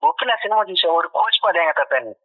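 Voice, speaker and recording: High-pitched man on a dull phone line. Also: big pitch swings, very fast. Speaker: prank call recipient, addressed as elder brother. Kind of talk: casual phone chat. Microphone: heard over a phone call. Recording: dull lo-fi phone call audio.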